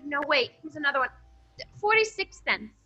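A woman's voice: sits high, very animated, normal speed, sharp tone, highs cut off, soft background music, exaggerated voice. An actress playing a ditzy, eager and brazen young woman sleuth in a comic old-time radio play, breezy and chatty. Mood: breezy, cheerful. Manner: breezy, chatty, blithely unconcerned.

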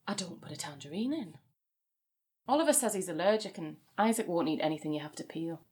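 Actress as an upbeat, placating woman, lively intonation. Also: fairly low pitch, brisk, natural voice. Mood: matter-of-fact, defensive. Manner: encouraging, placating. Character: relentlessly positive sister, a mother of young boys. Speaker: actor in an audio drama. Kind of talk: naturalistic audio comedy drama dialogue.

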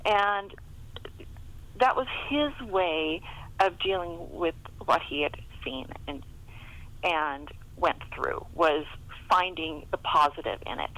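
A woman's voice: low voice, slow pace, a bit bright, low-quality recording. A middle-aged woman chatting casually as a novelist being interviewed, often chuckling as she talks. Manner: chuckles often while talking. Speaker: guest, romance novelist.

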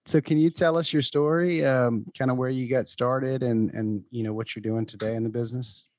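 A man hosts a podcast interview in an upbeat, friendly way, with an occasional filler. His voice sits in a middle pitch. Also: fairly even intonation, normal speed, darkish tone. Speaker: podcast host.

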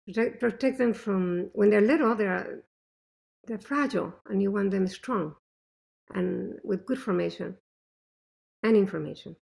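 Gravelly-voiced woman with a thick accent. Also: medium pitch, measured pace, long pauses. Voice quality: speaks with a thick non-native accent. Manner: animated, emphatic storytelling. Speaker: interview guest, mother. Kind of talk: conversational interview.

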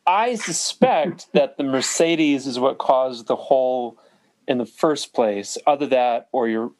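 A man's voice: medium pitch, slow, darkish tone, low-quality recording. A man hosting a casual interview, teasing his guest with dry asides. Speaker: host.

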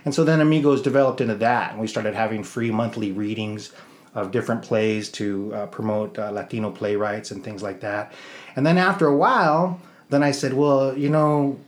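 A man's voice: fairly low pitch, normal speed. A middle-aged man with an American accent, talking as an interview guest in a casual, rambling storytelling way. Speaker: interview guest, theater producer and actor.

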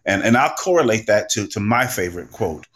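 Low-pitched, deliberate male podcast panelist. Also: animated, fairly bright tone. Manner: measured, deliberate, builds lists and quotations. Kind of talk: reflective panel talk.

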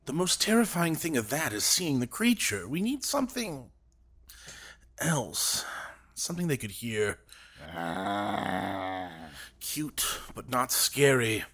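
Bright, animated theatrical young man. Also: a little high, takes it slowly, pauses often, slightly rough, exaggerated voice. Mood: brooding, searching. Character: brash, theatrical young male radio director-genius, excitable and stubborn. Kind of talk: audio drama acting set in 1930s radio world.

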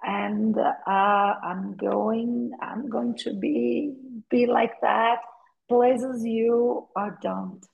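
Slow-speaking Brazilian-accented woman. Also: on the high side, fairly even intonation, creaky, phone-quality sound. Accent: Brazilian Portuguese. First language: Portuguese. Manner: hesitant, with frequent "uh" pauses and repeated words. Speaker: guest, attorney and novelist. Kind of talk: conversational interview.

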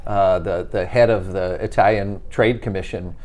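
A middle-aged wine writer, chatting as a guest and telling wry, animated stories. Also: male voice, low-pitched, normal speed. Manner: animated storyteller, wry, mimics voices in quotes. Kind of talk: casual interview chat.

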